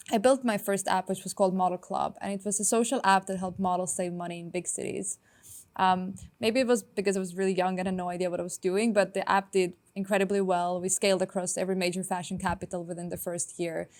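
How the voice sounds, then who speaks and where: Upbeat young woman from Estonia. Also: medium pitch. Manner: upbeat, quick, many "like" fillers. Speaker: startup founder and guest. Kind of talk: casual interview answers.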